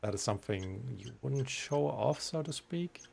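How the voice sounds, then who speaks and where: A man's voice: mid-range voice, animated, normal speed, gravelly, a little mellow, slight background noise. A man giving casual, understated let's-play commentary over faint game sounds. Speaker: gaming video host.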